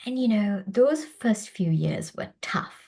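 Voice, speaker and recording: A woman in her fifties from Sri Lanka giving a prepared personal presentation as a doctor, in a measured, earnest way. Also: mid-range voice, lively intonation, gravelly, darkish tone. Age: 50s.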